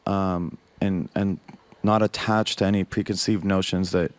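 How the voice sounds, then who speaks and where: A man's voice: low-pitched, level tone, a little slow, dry recording. A man speaking as a DJ on a live panel, earnest and given to small laughs as he tells stories. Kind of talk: panel discussion.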